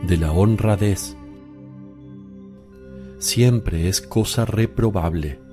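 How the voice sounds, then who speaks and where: An actor playing a grave, solemn male teacher who preaches deliberately in a radio drama. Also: fairly low pitch, over music. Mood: solemn, reproving.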